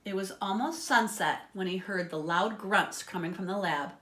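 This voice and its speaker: A woman reading a children's story aloud in an expressive, dramatic way, with asides to her listeners. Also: mid-range voice, moderate pace. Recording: echoey, roomy sound.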